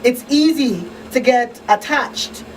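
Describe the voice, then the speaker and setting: Female talk radio host, higher voice, emphatic and conversational, a little off-mic with faint noise. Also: slow pace, recorded from a distance, poor audio. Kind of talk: casual radio talk, partly reading announcements. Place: radio studio.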